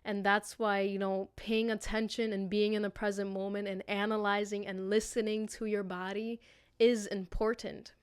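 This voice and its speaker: A woman's voice: mid-range voice, level tone, moderate pace, clean tone, dry recording, clean studio sound. A woman hosting a casual motivational podcast, talking informally and affectionately straight to listeners, with slang and free swearing. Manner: affectionate direct address to listeners. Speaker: podcast host. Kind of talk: casual, motivational self-reflection podcast monologue.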